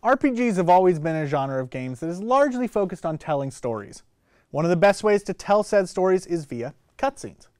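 A man's voice: high voice, sing-song, fairly quick, darkish tone. Casual man hosting a tutorial. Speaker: tutorial host. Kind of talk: casual step-by-step screen tutorial.

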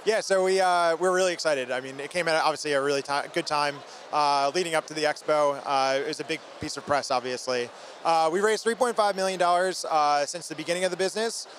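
A man's voice: fairly high pitch, a little fast, fairly bright tone. A man in his thirties giving an interview as a company founder, talking informally and energetically into a handheld microphone. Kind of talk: trade show interview. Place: busy trade show booth indoors. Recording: faint convention hall background noise.

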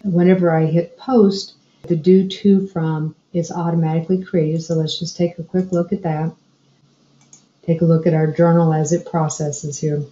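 A woman explaining software step by step as a trainer narrating a screencast tutorial. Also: deep voice, little pitch movement, normal speed. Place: indoor room, screen recording voice-over. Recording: dull, roomy sound.

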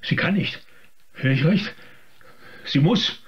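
High-pitched, imperious husky gentleman. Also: lively intonation, dull audio, heavily acted. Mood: fussy, demanding. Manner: haughty, archaic third-person address, drawn-out. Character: imperious, irritable gluttonous gentleman absorbed in his roast. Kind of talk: radio play acting.

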